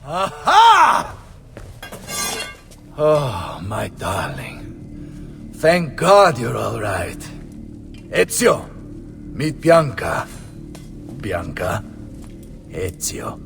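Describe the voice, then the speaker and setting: An actor playing a bold, blustering Renaissance mercenary captain with an Italian accent in a video game. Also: male voice, fairly low pitch, huge pitch swings, extremely slow, gravelly, long pauses, fairly bright tone, faint music behind, full character voice. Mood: relieved, tender. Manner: blustering, theatrical. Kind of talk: video game cutscene acting.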